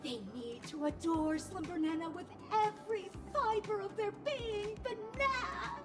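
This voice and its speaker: A woman voicing a bossy, theatrical banana advisor creature in a video game, who slips the word "Bana" into her lines. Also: unhurried. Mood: scheming. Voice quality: high squeaky cartoonish voice. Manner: bossy, dramatic, tacks "Bana" onto words. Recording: game audio with loud background music.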